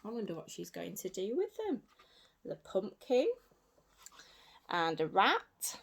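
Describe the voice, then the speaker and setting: A woman reading a story aloud for young children as a teacher, in an animated way with simple repeated phrases and asides to her listeners. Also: medium pitch, takes it slowly, vocal fry. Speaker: early years teacher.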